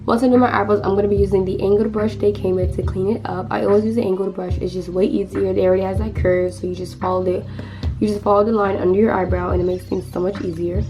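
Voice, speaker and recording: A hoarse teenage girl talks through her makeup routine in a loose, rambling way, stopping and starting her sentences. Her pitch sits in the middle range. Clear background music plays under her voice. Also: flat delivery, fast talker. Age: teens. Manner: chatty, rambling, lots of fillers and restarts. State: hoarse, lost voice. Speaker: beauty vlogger. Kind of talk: casual makeup tutorial chat.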